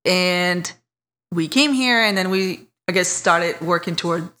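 A woman's voice: on the low side, measured pace. A woman from Iraq, a podcast guest telling her life story in a candid, self-mocking way. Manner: warm, candid, laughs at herself. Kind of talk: casual interview storytelling.

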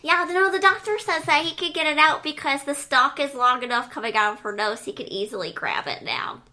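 A woman's voice: sits high, lively intonation, brisk, pushed and bright. A woman playing a naive little boy. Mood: earnest. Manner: blunt, matter-of-fact kid talk. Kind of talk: improv comedy.

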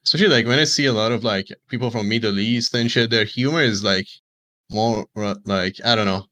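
A man's voice: lowish voice, brisk, a bit bright. A man guesting on a streamer podcast, talking in a laid-back, slangy way. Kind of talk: casual chat.

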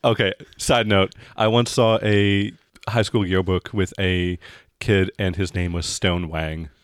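Male game master, lower register, descriptive but off-the-cuff, dry sound. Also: steady tone, measured pace, clear, resonant tone, dry recording. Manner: casual, descriptive, searching for words. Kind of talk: improvised narration of a tabletop game.

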